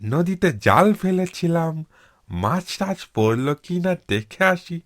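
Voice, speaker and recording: Guy doing a sly old village farmer, highish voice, really slow drawl, all innocent, cartoon story. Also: animated. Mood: sly, coaxing. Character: sly old peasant husband, poor and cautious.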